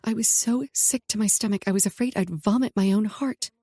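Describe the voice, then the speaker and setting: A woman narrating a romance audiobook in first person, soft and emotional. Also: medium pitch, a little fast, breathy edge, no echo, clean studio sound.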